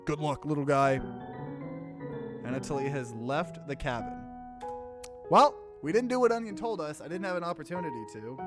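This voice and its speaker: Male gaming host, animated pitch, read-aloud narration, casual commentary, music behind. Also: brisk. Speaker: let's play host.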